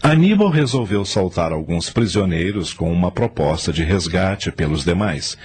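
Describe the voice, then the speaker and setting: Male narrator, low voice, formal storytelling, scripted radio drama. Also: animated, moderate pace, darkish tone, slightly rough.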